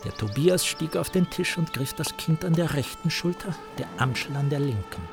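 Herr Hund, animated higher-voiced storyteller. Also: male voice, normal speed, creaky, music in the background. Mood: lurid, conspiratorial. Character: Herr Hund, a man spinning lurid hateful tales with relish. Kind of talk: German radio play storytelling.

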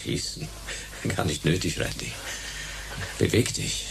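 An actor playing a tough, sardonic private detective in a noir sci-fi radio drama, deadpan and laconic. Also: male voice, rumbling low, a little slow, vocal fry, background noise, heavily acted. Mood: sardonic menace. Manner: deadpan, laconic, clipped sentences. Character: hard-boiled male private detective, tough, sardonic, cool-headed. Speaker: lead actor and first-person narrator in a radio play. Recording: music behind the voice.

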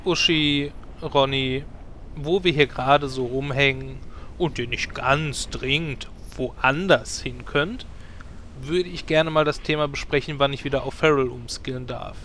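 An actor playing a hapless, put-upon druid in a comedic fantasy radio play, whiny and resigned. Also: male voice, medium pitch, unhurried, a bit bright, a little hiss or hum, close to an everyday voice. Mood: pleading.

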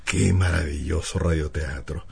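Husky man co-hosting radio. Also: rumbling low, fairly even intonation, normal speed. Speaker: radio show co-host. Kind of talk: conversational radio presenting.

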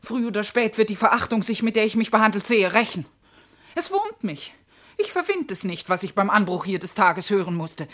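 A woman playing a sharp-tongued, scorned servant wife in a German classical verse radio drama, with emphatic theatrical delivery. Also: mid-range voice, animated, a little fast, lightly acted. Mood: bitter, defiant. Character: sharp-tongued, scorned servant wife, scolding yet devoted to her mistress.

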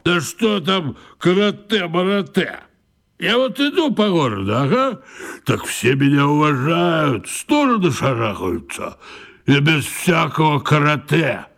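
A brash, boastful boxing coach in a radio drama. His gravelly, fairly high voice swings with lively emphasis as he pushes out slangy lines. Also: measured pace, exaggerated voice. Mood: boastful, excited. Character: brash boastful male boxing coach, simple-minded and keen.

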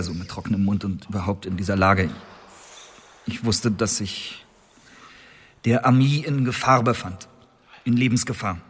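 An actor in a German radio drama playing a desperate accused agent in his late twenties, pleading earnestly in halting phrases. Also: on the low side, slight background noise, natural voice. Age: late 20s. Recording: reverberant hall.

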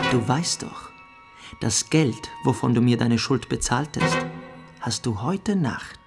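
An actor playing a smug, quietly cruel young boarding-school pupil, telling his story theatrically in a radio play. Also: medium pitch, gravelly. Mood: soft menace. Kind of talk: dramatic first-person storytelling in a radio play.

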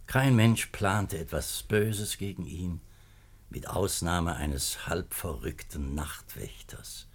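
An actor playing a beleaguered, earnest government minister in a 1950s German-language radio play, formal and weighty in speech. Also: male voice, takes it slowly, heavily acted. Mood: bitter, resigned. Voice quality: husky low voice.

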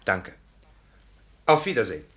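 A man playing a formal, stiffly courteous gentleman ironworks owner in a literary radio drama, with clipped phrasing. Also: fairly low pitch, sing-song, measured pace. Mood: stiffly polite.

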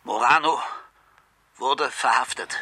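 A sharp, raspy, meticulous informant. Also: male voice, medium pitch, slow pace, exaggerated voice. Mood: weary insistence. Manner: precise, formal, insistent. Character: formal, meticulous male informant recounting events. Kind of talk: 1970s German radio crime drama narration and dialogue.

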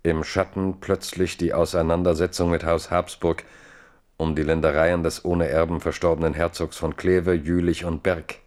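Give a historical radio play its chronicler played by a man, with a very deep voice. He narrates gravely and deliberately, in literary phrases that he sets out with care. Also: flat delivery, a little mellow, lightly acted. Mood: grave, reflective. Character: grave male chronicler of a king's fate.

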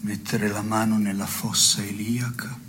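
Over clear background noise, an actor plays a brooding man with a lowish voice. He muses in measured, literary phrases, melancholy and neurotic, in an absurdist radio theatre dialogue. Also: little pitch movement, close to an everyday voice. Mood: pensive. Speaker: stage actor and playwright.

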